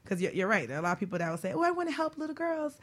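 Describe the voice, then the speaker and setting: A woman hosting a talk show and chatting casually with a guest in an informal, expressive, slangy way. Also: a little high, rapid-fire. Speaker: talk show host and business coach. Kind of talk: casual interview chat.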